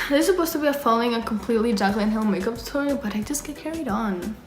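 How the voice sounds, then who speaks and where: A woman's voice: a little high, expressive, quick pace, slight background noise. A young woman from the Dominican Republic, a native Spanish speaker, chatting casually through a makeup tutorial and swearing offhand now and then. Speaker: beauty vlogger. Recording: echoey room with background music.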